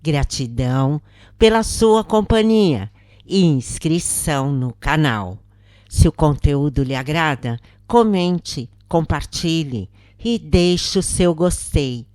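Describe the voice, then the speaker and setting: A Brazilian woman reading a scripted first-person spiritual account as a narrator, in a measured, carefully phrased way. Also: deep voice, lively intonation, a little mellow, no echo. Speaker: narrator and channel host.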